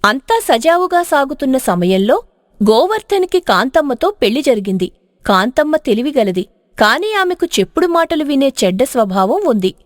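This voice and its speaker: A woman's voice: high voice, very animated, normal speed, no echo, clean studio sound. A woman narrating a scripted moral story as a storyteller.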